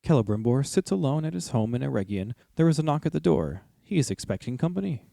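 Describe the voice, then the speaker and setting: Mellow American man, dry recording. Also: medium pitch, very animated, quick pace, creaky, dry recording. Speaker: podcast host and drama narrator. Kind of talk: casual discussion and storytelling narration.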